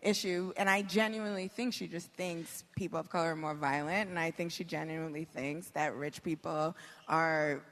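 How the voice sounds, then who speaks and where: A woman's voice: sits low, fairly even intonation, normal speed, a bit bright. A woman chatting candidly and emphatically about politics as a guest on a live panel. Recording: reverberant hall, on stage.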